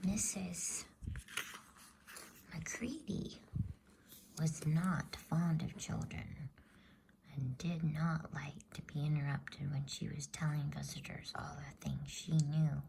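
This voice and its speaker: A woman whispering a bedtime story as ASMR in a soothing, hushed, drawn-out voice. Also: low-pitched, fairly even intonation, a little hiss or hum, low-quality recording. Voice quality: breathy whisper.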